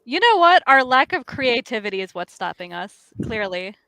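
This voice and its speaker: Female, slightly high pitch, playful casual banter, dry recording. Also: big pitch swings, moderate pace, bright, forceful tone, no echo.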